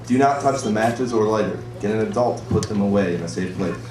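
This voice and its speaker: A man voicing a calm firefighter puppet who gives plain, authoritative advice in a children's safety show. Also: lowish voice, fast talker, lightly acted. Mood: calm, instructive. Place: live show in a room, recorded from the audience. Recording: echoey distant live show with crowd.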